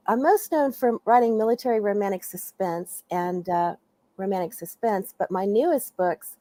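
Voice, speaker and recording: A woman's voice: a little high, no room sound. A woman author introducing herself on a panel, speaking with frequent hesitations. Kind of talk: casual panel chat.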